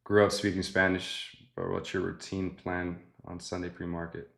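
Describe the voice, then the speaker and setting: A young man with a low, deep voice runs a live trading stream. He talks in a loose, laid-back way to his chat and thinks out loud at his desk mic, often trailing off into a soft mutter. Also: flat delivery, moderate pace, vocal fry. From Mexican family. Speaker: livestream host trading futures. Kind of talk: casual live trading commentary.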